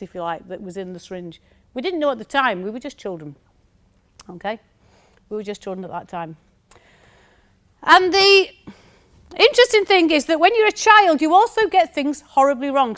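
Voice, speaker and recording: A woman's voice: a little high, fairly bright tone. Chatty northern English woman presenter. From north of England. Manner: chatty, anecdotal, often checks in with "okay?". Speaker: university researcher giving a conference talk. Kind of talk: conference presentation.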